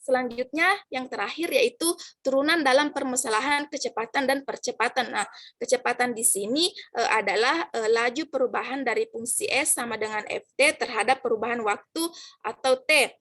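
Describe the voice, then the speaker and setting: A woman's voice: a little high, normal speed, a bit bright. A young woman student giving a class presentation, reading formulas and definitions from slides with many hesitations and fillers.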